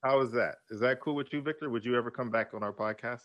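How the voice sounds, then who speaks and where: A man's voice: medium pitch, fast talker, dull audio. Playful podcast co-host man. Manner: laughs often, eager and playful. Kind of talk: casual chat.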